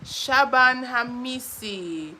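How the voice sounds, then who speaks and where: A woman's voice: fairly high pitch, lively intonation, drawn-out words, bright, forceful tone, slight background noise, low-quality recording. A young Nigerian woman with a Nigerian accent hosts a casual live stream, chatting playfully, reading viewer comments and laughing often.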